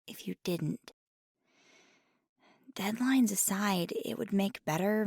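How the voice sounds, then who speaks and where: A woman playing a nervous, earnest paranormal investigator in an ASMR roleplay, speaking softly in a hesitant, stammering, rambling way. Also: lowish voice, gravelly, dry recording, studio quality. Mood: earnest, subdued. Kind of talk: ASMR roleplay, intimate one-sided dialogue.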